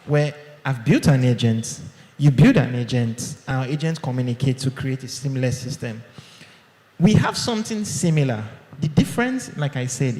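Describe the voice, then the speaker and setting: Male speaker, mid pitch, conversational conference talk, keeps asking "okay?", booming hall reverb. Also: darkish tone. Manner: conversational, checks in with "okay?" often. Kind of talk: live presentation to an audience. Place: large hall. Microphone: handheld or podium mic. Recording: echoey hall with long reverb.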